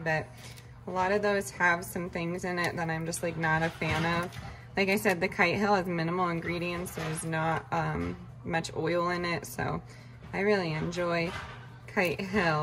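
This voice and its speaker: A woman chatting casually as she walks through her meal prep in a home kitchen, relaxed and full of fillers. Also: lowish voice, rough recording. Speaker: vlogger cooking meal prep. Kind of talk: casual cooking narration.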